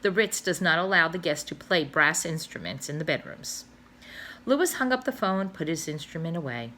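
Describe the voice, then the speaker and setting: A woman teacher reading a children's novel aloud, expressive, with little asides and self-corrections. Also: low-pitched, moderate pace, slight background noise.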